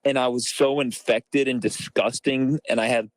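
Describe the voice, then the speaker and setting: A man on a podcast improvising self-mocking comic stories with an earnest deadpan. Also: mid-range voice, normal speed, no room sound, low-quality recording. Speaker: podcast member.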